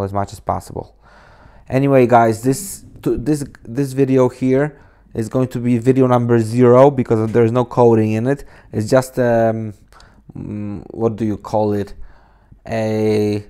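A young man from Poland, speaking English as a second language, talks through a coding tutorial in a casual, rambling way. His voice is lowish, warm and smooth, with frequent restarts and fillers, captured on an inexpensive desk mic. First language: Polish. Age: 20s. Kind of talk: casual screen-recorded tutorial talk. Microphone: cheap desk microphone on a laptop.

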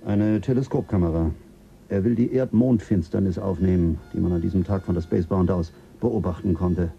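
An actor playing a measured mission official explaining a space voyage in a German radio drama, with a husky voice. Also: male voice, sits low, warm tone, muffled recording, faint music behind. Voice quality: husky, gravelly.

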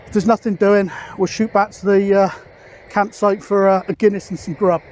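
A man's voice: high voice, flat delivery, moderate pace, a little mellow, a little hiss or hum. A British man in his forties chatting casually to camera as a vlogger, recorded outdoors on an open hilltop. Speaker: vlogger, CB radio hobbyist. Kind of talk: casual outdoor vlog talk.

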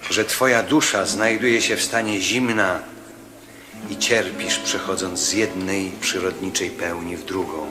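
A man's voice: sits low, fairly even intonation, over music. An actor playing a stern male apostle who speaks firmly and earnestly in a radio play. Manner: firm, earnest, measured.

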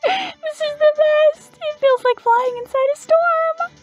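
A woman voicing an excitable little sparrow, a gushing idol fan in a fantasy game. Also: very high-pitched, a little slow, soft background music, voice pushed up. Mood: ecstatic. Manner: squealing, gushing.

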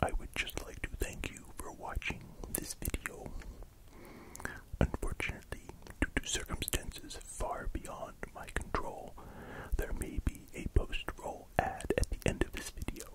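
Casting: vampire dog count acted by a puppeteer, playful and softly menacing, all in hushed, slow ASMR whispers, close-miked. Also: lightly acted, rough recording. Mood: polite, soothing. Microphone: close pair of microphones.

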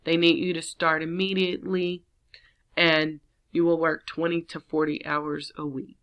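A woman with a slightly raspy voice from a cold, chatting casually as she walks viewers through a job listing. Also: deep voice, little pitch movement, measured pace, muffled recording. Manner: chatty, repetitive, encouraging viewers. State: under the weather, slightly raspy. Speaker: work-from-home job channel host. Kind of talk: casual screen-share walkthrough.

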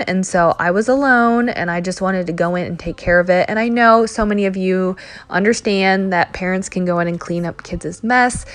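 A woman in her thirties chatting through a home cleaning vlog voice-over, talking straight to her viewers. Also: fairly low pitch. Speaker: cleaning vlogger. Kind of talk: casual voice-over narration.

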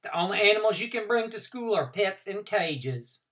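A woman voicing a brisk, firm but kind female schoolteacher making clear classroom announcements. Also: medium pitch, lively intonation, pitched above the performer's own voice, lightly acted. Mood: announcing.

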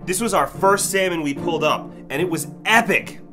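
A man's voice: high-pitched, normal speed. A young man eagerly narrating his first salmon catch as a voice-over. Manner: excited, earnest storytelling. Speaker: vlog narrator and angler. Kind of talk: voice-over narration of a fishing trip. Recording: voice-over with loud background music.

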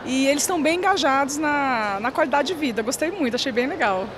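A woman's voice: sits high, expressive, fast talker. Brazilian woman interviewed in a noisy hall. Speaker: guest speaker being interviewed. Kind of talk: TV interview answers. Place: large indoor hall. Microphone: handheld reporter microphone. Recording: noisy hall with clear background noise.

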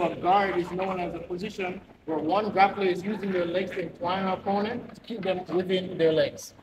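A man's voice: high voice, recorded from a distance. A man coaching a jiu-jitsu class, explaining positions step by step in a large indoor gym. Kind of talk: instructing a class. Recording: echoey gym with background noise.

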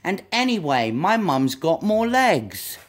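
A woman's voice: on the low side, big pitch swings, takes it slowly. A woman reading a storybook aloud as a lost, upset young monkey who protests in exasperation. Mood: exasperated.

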